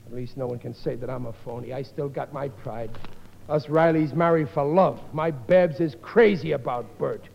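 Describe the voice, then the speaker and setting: An American actor playing a middle-aged, blustering, soft-hearted family man in a 1950s radio comedy, speaking in exasperated, ungrammatical style. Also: mid-range voice, moderate pace, creaky. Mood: musing. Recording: muffled, noisy 1950 radio recording, hall echo.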